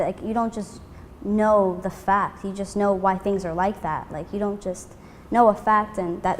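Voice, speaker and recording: Casting: earnest student girl, mid voice, long reverb, faint hiss. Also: medium pitch. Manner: earnest, repetitive with "like". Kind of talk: interview answer.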